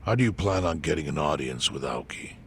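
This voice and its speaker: An actor playing a calm, solemn older yakuza clan chairman in a video game, speaking in a measured, deliberate way. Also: very deep voice, a little hiss or hum. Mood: dry, steady. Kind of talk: video game cutscene dub acting.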